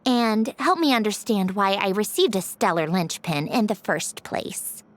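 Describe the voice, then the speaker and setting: A woman's voice: medium pitch, animated, unhurried, noisy background, natural voice. A woman playing a proud, sardonic young villainess in a video game, with a dry, condescending, theatrical delivery. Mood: reflective, guarded. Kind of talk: video game character dialogue.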